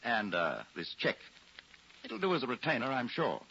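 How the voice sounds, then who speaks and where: An actor playing a haughty newspaper drama critic in a 1940s radio play, theatrical, condescending and cutting. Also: male voice, medium pitch, wildly swooping pitch, moderate pace, creaky, pushed and bright, a little hiss or hum, full character voice. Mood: haughty, businesslike. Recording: dull lo-fi old radio recording.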